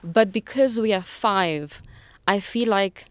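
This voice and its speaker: A woman in her thirties with a South African accent, a guest telling her story in a podcast studio, lively at times and quiet when serious. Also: mid-range voice, slow pace, dry recording. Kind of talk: casual interview conversation. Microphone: boom mic on desk.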